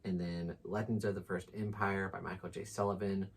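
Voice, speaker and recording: A man's voice: sits low, little pitch movement, a little fast, a little hiss or hum. A man co-hosting a casual book chat with informal, slangy, enthusiastic talk. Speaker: book channel co-host.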